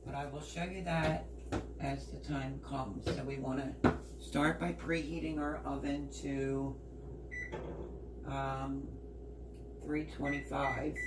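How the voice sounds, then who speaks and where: A woman with a hoarse voice casually explaining a recipe step by step in her home kitchen. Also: rumbling low, little pitch movement, takes it slowly, pauses often, heavy background noise. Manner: chatty, explains step by step while working. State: hoarse, partly lost voice. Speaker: home cook. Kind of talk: casual cooking demonstration.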